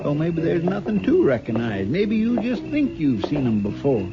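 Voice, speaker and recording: Earnest Old West deputy type, deferential, muffled radio recording with music. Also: male voice, fairly high pitch, big pitch swings, normal speed. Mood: puzzled. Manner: deferential, plain-spoken. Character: earnest, simple, loyal frontier marshal's helper. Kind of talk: 1950s radio western acting. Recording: dull old radio broadcast, music behind.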